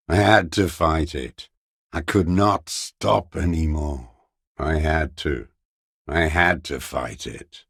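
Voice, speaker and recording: An actor playing a bitter, haunted old communist deserter in a video game, declaiming ideology in a raspy, worn voice. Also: male voice, no echo, clean studio sound, exaggerated voice. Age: older. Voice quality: gravelly, raspy, worn low voice. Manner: slow, haunted, ideological declaiming with accusatory edge. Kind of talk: dramatic video game voice acting.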